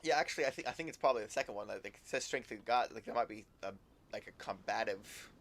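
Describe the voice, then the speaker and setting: A man's voice: on the low side, fast, fairly bright tone. A man casually commentating on a live game stream, repeating words and thinking aloud as he goes.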